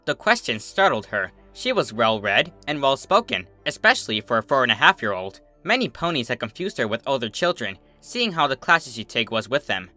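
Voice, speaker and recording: Bright, lively male storybook narrator. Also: mid-range voice, brisk, hardly any pauses, soft background music. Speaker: fan fiction narrator. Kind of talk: expressive scripted storybook narration.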